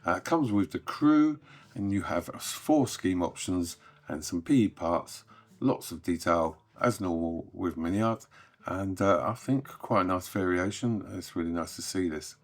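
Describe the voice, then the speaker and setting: A man hosting a hobby news update, chatting casually and sounding croaky as he gets over an illness. He often trails off softly at the ends of sentences. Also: deep voice, unhurried. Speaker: host.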